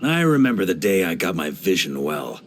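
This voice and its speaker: An actor playing a genial, laid-back middle-aged knight commander in a video game, with a theatrical, easygoing manner. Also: male voice, deep voice, sing-song, normal speed, slight background noise. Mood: musing, amused. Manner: jovial, laid-back, theatrical, given to chuckles. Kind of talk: English video game character voice lines.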